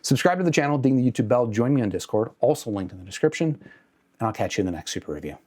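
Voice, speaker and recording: A man with a medium-pitched voice hosts an unscripted product review. He talks in a conversational way, qualifying his points and correcting himself along the way. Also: very animated, rapid-fire, a little mellow. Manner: conversational, hedging, self-correcting. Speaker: host of an audio gear review channel.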